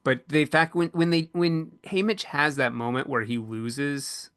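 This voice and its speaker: Bright-voiced man, earnest podcast host. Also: fairly high pitch, steady tone, clean tone, no room sound, clean studio sound. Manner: earnest, halting, searching for words. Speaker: podcast co-host and panel moderator. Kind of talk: reflective panel discussion about books.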